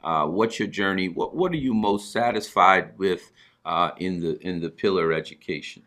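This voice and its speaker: A man hosting an informal broadcast, speaking in halting, deliberate phrases as he plugs sponsors and talks with a guest. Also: sits low, fairly bright tone. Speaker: broadcast host.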